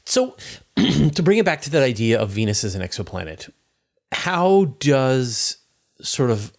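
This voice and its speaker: A man's voice: medium pitch, sing-song, measured pace, creaky. A middle-aged man hosting a casual interview over a video call, asking conversational questions. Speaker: interview host. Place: indoor room on a video call.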